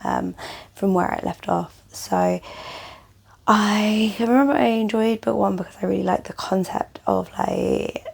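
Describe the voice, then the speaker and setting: A young woman chatting casually to camera as a book vlogger, rambling with lots of fillers, her voice a little croaky and tired. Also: medium pitch, measured pace, a little mellow.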